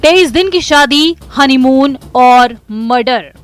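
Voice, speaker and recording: A woman's voice: high voice, animated, takes it slowly, smooth, faint music behind. A woman narrating a scripted crime news report in a dramatic, emphatic manner.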